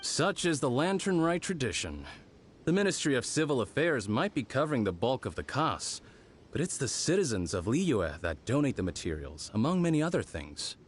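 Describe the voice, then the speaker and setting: An actor playing a friendly, patient, easygoing craftsman in a video game, encouraging, with thoughtful pauses. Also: medium pitch, big pitch swings, slight background noise, lightly acted. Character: friendly, patient male festival lantern craftsman. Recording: music behind the voice.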